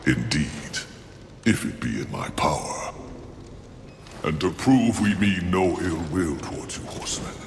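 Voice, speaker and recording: An actor voicing a proud, embattled angel commander in a video game, speaking with grand, formal deliberation. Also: male voice, extremely low pitch, full character voice. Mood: solemn. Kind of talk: theatrical video game acting. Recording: echoey hall reverb, clear noise.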